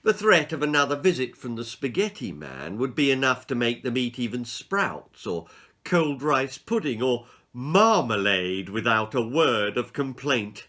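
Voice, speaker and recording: Male author-narrator for children's tales: bright, forceful, dramatic and suspenseful storytelling. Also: medium pitch, very animated, measured pace. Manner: theatrical, suspenseful delivery. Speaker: author reading his own children's story.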